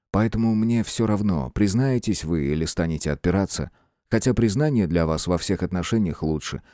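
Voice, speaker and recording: A man reading a composed, stern male investigator in an audiobook, measured, firm and unsentimental. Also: sits low, warm tone, no room sound, clean studio sound, lightly acted. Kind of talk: dramatic audiobook character reading.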